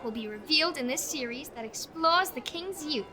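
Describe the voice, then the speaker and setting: Cheeky, impulsive young pickpocket, very high and animated, comic audio drama. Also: female voice, moderate pace, crowd noise. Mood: eager announcing. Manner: playful, cheeky, theatrical. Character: Ruby, cheeky, impulsive young female pickpocket, barely literate.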